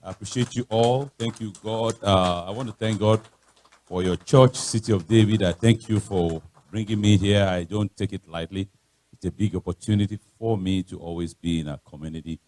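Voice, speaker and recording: A middle-aged man with a Nigerian accent and a loud voice, giving a chatty, informal talk as a guest speaker in church. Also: fairly even intonation, moderate pace. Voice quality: loud, booming voice. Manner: chatty, anecdotal, repeats phrases. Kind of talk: informal motivational talk to a congregation. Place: church podium.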